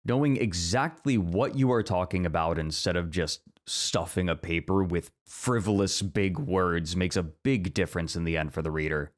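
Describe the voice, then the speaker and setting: A man playing a bookish, earnest, easily flustered professor in an ASMR roleplay, with a measured, articulate and slightly stuffy way of talking. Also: low voice, close to an everyday voice. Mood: earnest, dry.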